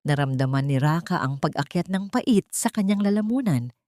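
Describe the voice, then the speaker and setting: A woman's voice: on the low side, expressive, moderate pace, darkish tone. A woman reading a dramatic story as an audiobook narrator in a solemn, melodramatic manner. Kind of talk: dramatic scripted narration of a Tagalog love story.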